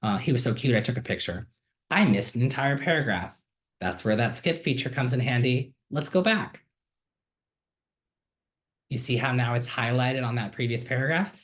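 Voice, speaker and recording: A man talks casually into his computer mic while sharing his screen. He sounds friendly and upbeat and keeps filling pauses with ums and uhs. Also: mid-range voice, lively intonation. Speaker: student giving a software demo. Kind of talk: casual screen-share walkthrough.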